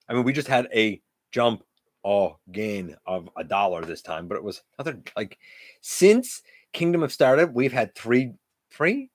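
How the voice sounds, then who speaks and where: A middle-aged man with a loud, carrying voice hosts a casual livestream, chatting and answering viewers' comments. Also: medium pitch, no echo, studio quality. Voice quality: loud voice that carries. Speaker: livestream host; teacher. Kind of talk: casual live-stream chat with viewers.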